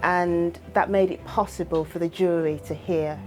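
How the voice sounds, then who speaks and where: A woman's voice: lowish voice, steady tone, normal speed, darkish tone, faint music behind. A woman working as an intermediary, talking in an interview about her own work. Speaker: witness intermediary.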